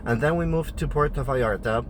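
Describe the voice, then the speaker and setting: A man with a Polish accent, Polish being his first language, talks straight to the camera in a plain, direct manner and often stops on "uh". His pitch sits at an ordinary middle height for a man, and a faint hum of a vehicle runs underneath. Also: a little fast. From Poland. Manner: direct, frequent "uh" fillers. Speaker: family travel vlogger. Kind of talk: casual explainer vlog.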